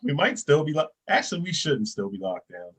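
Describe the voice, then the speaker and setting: A man's voice: fairly high pitch, very animated, brisk, a bit bright, slightly rough. A man in his thirties hosting a casual podcast chat, talking bluntly and animatedly and swearing freely. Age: 30s. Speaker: podcast host.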